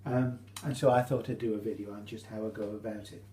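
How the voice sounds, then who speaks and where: British male hobbyist demonstrator. Relaxed, dark tone, hesitant informal delivery, faint hum in the recording. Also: lowish voice. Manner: hesitant, halting, explanatory. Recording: roomy echo, faint hum.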